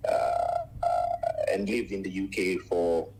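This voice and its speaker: Ghanaian-born man, 40s, low husky voice, unhurried radio guest who pauses a lot. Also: huge pitch swings, mellow. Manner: measured, frequent "uh" pauses, lists things at length. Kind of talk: radio interview, recounting life story.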